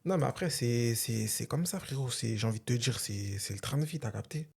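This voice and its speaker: A man's voice: mid-range voice, lively intonation, a little fast, creaky, a little mellow, husky. A young man from Philadelphia raised in France, joking and boasting with lots of slang in a casual chat among friends. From born in Philadelphia, raised in France. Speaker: podcast host.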